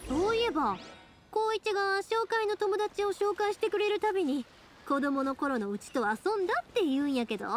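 Lively high-voiced young Kansai woman. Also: moderate pace, slight background noise. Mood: chatty, sheepish. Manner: breezy, friendly dialect speech. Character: cheerful, warm-hearted young woman fireworks maker. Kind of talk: Japanese anime game voice acting. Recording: music behind the voice.

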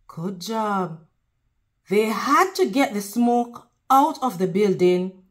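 A woman reading a picture book aloud to children in a teacherly way, pausing to prompt them with questions. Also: mid-range voice, lively intonation. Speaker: read-aloud host.

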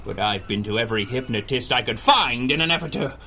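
An actor playing a deranged, gleeful supervillain who commands monkeys, theatrical and taunting, in a 1930s-style radio drama. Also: male voice, mid-range voice, expressive, brisk, vocal fry, pushed and bright, slight background noise. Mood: mocking. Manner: theatrical, taunting, cackling, swinging from croon to shriek.

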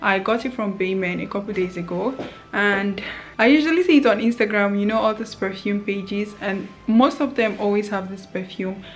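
A woman's voice: medium pitch, normal speed. A young woman in her twenties chatting casually to camera in a vlog, often laughing and talking straight to her viewers. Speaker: vlogger. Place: apartment indoors, later outdoors in a city. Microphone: handheld camera at arm's length.

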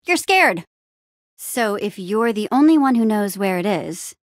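A woman's voice: mid-range voice, big pitch swings, measured pace, no room sound, clean studio sound. A woman playing an upbeat, plucky young female adventurer in a video game, with an eager, wry and casual way of talking. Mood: insistent. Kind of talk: animated adventure game dialogue.

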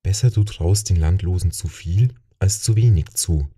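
A man's voice: sits low, normal speed, warm tone, breathy edge, far from the mic. A man voicing a kind, reassuring woman companion in a fantasy audiobook. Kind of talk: audiobook character voice.

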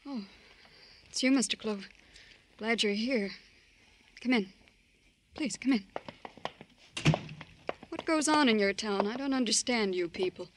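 An actress playing a nervous, desperate young murder suspect in a radio crime drama, breathless and pleading. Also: female voice, a little high, measured pace, long pauses, noisy background, natural voice. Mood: uneasy, defensive. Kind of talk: old-time radio crime drama acting.

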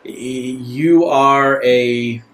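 A man playing an urgent, evasive guide in a tabletop game, explaining things in halting bursts. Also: medium pitch, steady tone, a bit bright. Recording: echoey room.

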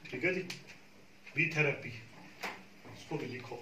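A man's voice: medium pitch, slow, background noise. A man explaining beekeeping patiently to a group of farmers, often checking that they follow. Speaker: beekeeping trainer. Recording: echoey room, far from the mic.

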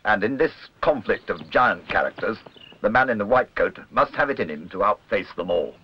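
Deep-toned male documentary narrator, muffled soundtrack. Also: animated, normal speed, slight background noise. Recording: muffled old film soundtrack with music. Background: music.

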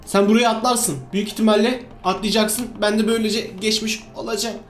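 An excitable gamer chats casually through co-op gameplay. The voice sits at a middling pitch and often bursts into repeated exclamations. It rings with room echo over light music. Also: a little fast. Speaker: gaming video host. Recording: roomy echo, music behind.

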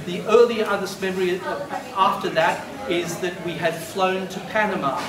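A man's voice: high-pitched, moderate pace, a crowd in the background. A man in his fifties from Argentina, whose was Spanish, telling a rambling story at a memorial. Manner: rambling, animated, gestures broadly with both hands. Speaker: eulogist. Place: glass-walled room by a beach. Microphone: far camera. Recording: roomy and distant with noise.

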